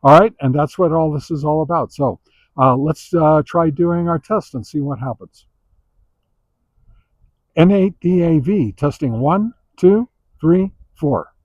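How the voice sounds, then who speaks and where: Casting: male hobbyist reviewer, casual and halting, circles back over his points, muffled low-fidelity sound. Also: a little mellow. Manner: halting, repetitive, hedging explainer. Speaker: reviewer presenting a radio antenna test.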